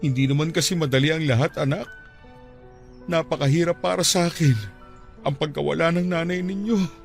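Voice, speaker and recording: An actor playing a tender, heartbroken widowed father in an emotional radio drama, speaking haltingly. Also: fairly high pitch, fairly even intonation, creaky. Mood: grief-stricken. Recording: music under the voice. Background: music.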